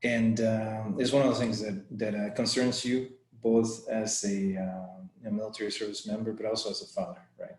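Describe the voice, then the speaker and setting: A man presents over a video call in a friendly, conversational way. His voice sits a little low, and he often restarts his phrases. The sound carries a noticeable room echo. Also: flat delivery, moderate pace, hardly any pauses, darkish tone. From Costa Rica. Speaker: guest presenter. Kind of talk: informal webinar talk. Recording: echoey room.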